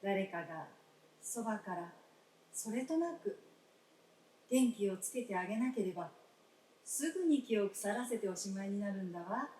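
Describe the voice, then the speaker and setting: A woman playing a frank, gentle wife in a radio drama, speaking in polite, old-fashioned feminine phrasing. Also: mid-range voice, animated, normal speed, long pauses, close to an everyday voice. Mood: tender reproach. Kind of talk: staged radio drama acting. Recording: roomy stage, distant mic, faint noise.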